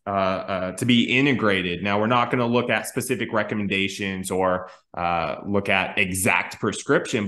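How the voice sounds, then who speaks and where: A man's voice: fairly low pitch, sharp tone, roomy sound. A man in his thirties, a strength coach, explaining training ideas in casual talk on a video call, with frequent restarts and fillers. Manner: thoughtful, many restarts and fillers. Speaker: strength coach, podcast co-host. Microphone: video call webcam.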